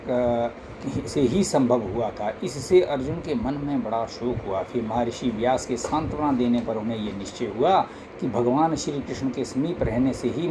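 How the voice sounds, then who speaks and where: Man reading scripture over noticeable background noise. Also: mid-range voice. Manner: formal recitation with occasional stumbles and repeated words. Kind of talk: devotional scripture reading, narrated from text. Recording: noisy amateur recording with steady background noise.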